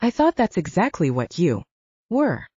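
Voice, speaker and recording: Woman voicing smug werewolf club owner, husky and lively. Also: fairly low pitch, a little slow, no room sound, dull audio, exaggerated voice. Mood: smug, taunting. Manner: taunting, smug. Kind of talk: audiobook character dialogue.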